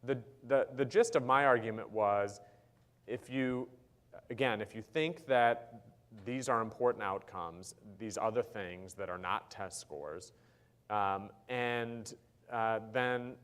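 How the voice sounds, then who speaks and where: Man, low voice, panel speaker, measured, explanatory, fillers. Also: a bit bright. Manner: measured and explanatory, with frequent ums and uhs. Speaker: panelist on education policy. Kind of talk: panel discussion answer.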